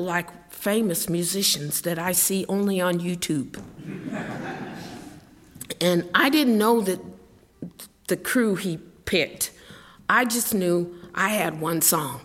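A woman's voice: medium pitch, big-room sound. A middle-aged woman, a singer-songwriter, telling a rambling, chatty story between songs at a live show, laughing as she goes. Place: live concert venue with audience.

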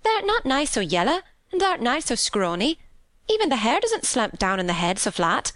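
A woman's voice: fairly high pitch, wildly swooping pitch, normal speed, a bit bright, dry recording, studio quality, pitched above the performer's own voice. A woman voicing a kindly, plain-spoken young servant girl with a Yorkshire accent in a storybook. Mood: cheerful, encouraging. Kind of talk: audiobook character dialogue.

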